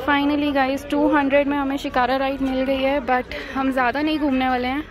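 A woman's voice: sits high, little pitch movement, normal speed, a crowd in the background. A woman travel vlogger chatting candidly and teasingly on a road trip, mixing Hindi and English. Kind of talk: casual vlog chat mixing Hindi and English. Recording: noisy outdoor ambience.

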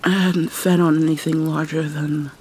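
A woman playing a haunted, sorrowful vampire mermaid in a soft, breathy ASMR roleplay, halting and often slipping into a whisper. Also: low voice, lightly acted. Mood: grieving, guilty. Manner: halting, mournful, dramatic pauses. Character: vampire mermaid, sorrowful young-looking princess, centuries old, haunted. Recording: steady rain and water noise behind. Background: rain ambience.

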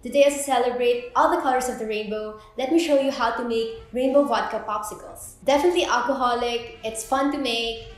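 A woman's voice: on the high side, brisk, hardly any pauses. A woman, presenting a step-by-step drink tutorial as host in an indoor kitchen. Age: 20s. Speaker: host of a drink recipe video. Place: indoor kitchen with bare walls. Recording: echoey room with background music. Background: music.

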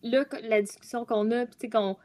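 A woman's voice: on the high side, fairly even intonation, a little fast, a little mellow. A young woman with a Quebec French accent, chatting casually in a group discussion on a video call from home. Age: young adult. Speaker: guest columnist on a youth talk show.

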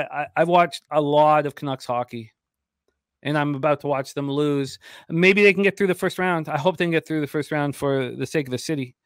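A man's voice: fairly high pitch, fairly bright tone, smooth, dry recording, studio quality. A middle-aged man hosting casual sports betting talk, speaking emphatically in short phrases. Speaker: sports show host. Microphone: large studio mic close to mouth.

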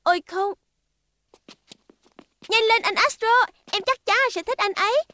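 Cartoon robot girl, sweet, anxious, extremely high, bright, pleading. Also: unhurried, slight background noise, full character voice. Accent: Vietnamese. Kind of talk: cartoon dubbing.

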